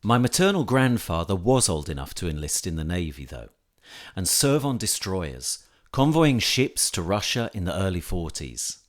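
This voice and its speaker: Guy with a lowish voice reading a memoir piece, measured but lots of up and down in the pitch. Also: very animated. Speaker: reader of a personal essay. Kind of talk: scripted narration of a reflective memoir.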